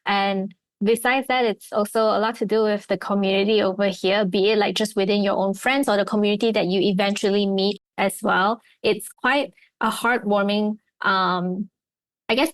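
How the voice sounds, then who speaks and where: A woman's voice: mid-range voice, dry recording. A young woman hosting a podcast interview, chatty and friendly, with many fillers. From Malaysia. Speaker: podcast host. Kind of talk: casual interview chat.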